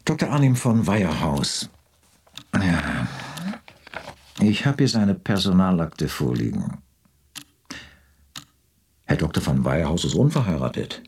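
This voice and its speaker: A pompous corporate director. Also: male voice, sits low, very animated, slow pace, long pauses, darkish tone, breathy edge, full character voice. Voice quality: formal, lofty phrasing. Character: pompous, moralizing male company director. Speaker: company director. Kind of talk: radio drama acting.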